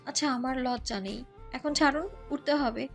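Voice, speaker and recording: Woman playing a high-voiced shy young bride. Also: normal speed, soft background music. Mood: flustered. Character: shy, flustered young newlywed bride, gently defiant. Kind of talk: romantic audio story acting.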